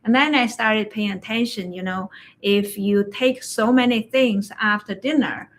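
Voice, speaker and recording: A woman acupuncturist, a non-native English speaker whose first language is Chinese, chatting in a slow, halting way about health, often telling stories about patients. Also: medium pitch. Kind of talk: casual health chat. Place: livestream conversation.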